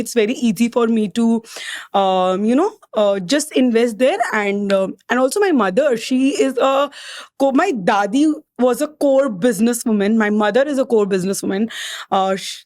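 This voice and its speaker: A woman's voice: on the high side, a little mellow. A woman with an Indian accent, a content creator guest chatting casually in an interview, switching between Hindi and English with many fillers.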